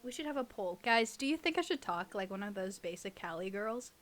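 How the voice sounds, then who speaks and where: A woman's voice: medium pitch, animated, fairly quick, fairly bright tone. A young woman in casual conversation as a podcast co-host, informal and teasing, reading creepy stories aloud. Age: young adult.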